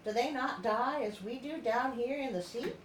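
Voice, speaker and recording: A young, earnest mermaid girl acted by a woman speaks with wistful longing in a storybook reading. The voice is on the high side, in an echoey room with some noise. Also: moderate pace, natural voice. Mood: earnest wondering. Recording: roomy echo, background noise.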